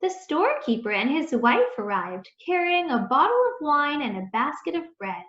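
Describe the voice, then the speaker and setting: A woman reading a children's story aloud in a deliberate, expressive storytelling manner. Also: high-pitched. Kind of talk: read-aloud storytelling, scripted narration. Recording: echoey room, dull muffled sound.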